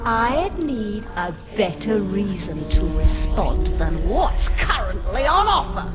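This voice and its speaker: A woman playing a cryptic, scornful authority figure in a video game, measured and imperious. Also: mid-range voice, big pitch swings. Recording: voice under loud game music.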